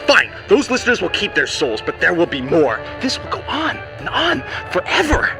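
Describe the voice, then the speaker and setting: A woman's voice: on the low side, huge pitch swings, moderate pace. A woman playing an imperious, soul-trapping villain in theatrical 1940s radio drama style. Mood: furious, commanding. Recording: loud music behind the voice.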